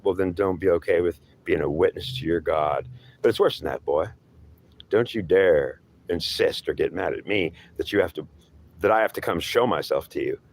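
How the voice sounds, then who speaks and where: A man's voice: low-pitched, normal speed, creaky. A man acts out a horned devil who passes himself off as God. He taunts and threatens in a scornful lecture, circling back to goad again and again in a homemade dramatic dialogue. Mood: threatening, scornful. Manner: scornful, goading, repetitive lecturing.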